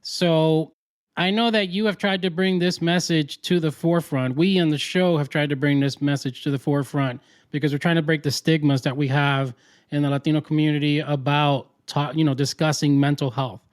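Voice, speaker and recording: A man's voice: fairly high pitch, fairly even intonation, normal speed, dry recording, clean studio sound. A man hosting a talk show, asking interview questions in a conversational way.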